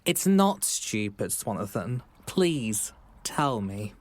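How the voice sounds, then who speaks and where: A man voicing a calm frog therapist in an audio drama, speaking in measured, reassuring, clinical phrases. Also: on the low side. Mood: reassuring, professional.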